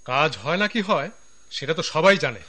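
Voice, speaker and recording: A man's voice: on the high side, very animated, normal speed, bright, forceful tone, exaggerated voice. A man playing a mocking, insinuating office colleague who spreads gossip in a radio drama. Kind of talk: broadcast radio drama acting.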